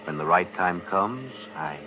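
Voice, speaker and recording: Grim accomplice, very low voice, deliberate and cryptic, muffled 1940s radio thriller. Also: male voice, expressive, noisy background. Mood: quietly menacing. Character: grim, ominous male accomplice biding his time.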